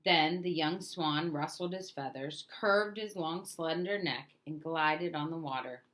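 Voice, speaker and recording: A woman reading a children's storybook aloud in an expressive, emphatic way. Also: low-pitched, measured pace, rough recording. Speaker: storybook reader.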